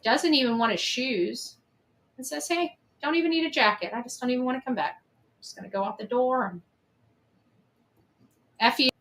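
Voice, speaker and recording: A woman's voice: high voice, moderate pace, long pauses, fairly bright tone. A woman giving an opinionated, unscripted monologue, emphatic and accusatory, repeating phrases for force. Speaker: online commentator on a case.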